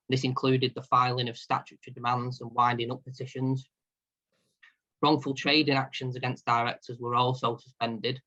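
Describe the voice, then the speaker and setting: A man presenting a business webinar, reading prepared notes in a steady manner. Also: medium pitch, lo-fi sound. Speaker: partner and insolvency practitioner.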